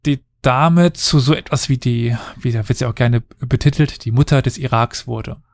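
A man's voice: medium pitch, normal speed, dry recording. Male podcast host, storytelling history, dry close-mic tone. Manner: storytelling, explains at length. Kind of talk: casual history podcast chat.

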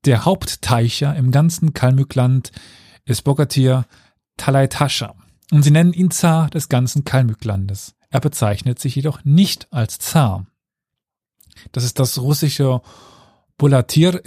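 Mellow-voiced man explaining history. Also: mid-range voice, no echo, studio quality. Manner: explaining, self-mocking, with frequent fillers. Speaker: podcast host telling history. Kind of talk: casual history podcast chat.

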